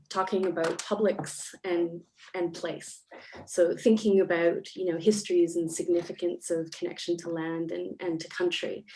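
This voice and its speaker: A woman's voice: fairly low pitch, dark tone, poor audio. A Canadian woman, a panelist and arts researcher, speaking thoughtfully over a video call with many fillers. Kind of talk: panel discussion remarks. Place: home or office in Melbourne.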